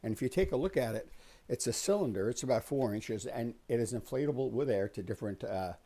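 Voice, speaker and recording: A man in his sixties giving a casual, unhurried explanatory tour as the host, talking conversationally in a small indoor workshop. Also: medium pitch, lively intonation, mellow. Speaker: host of a woodworking shop tour.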